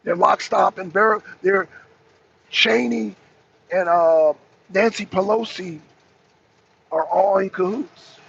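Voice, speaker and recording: A man with an American accent speaks in a high, raspy voice full of gravelly fry. He delivers off-the-cuff political commentary as a show host, hammering key phrases by repeating them. The audio is dull, with noticeable noise behind him. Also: slow, pauses often, fairly bright tone.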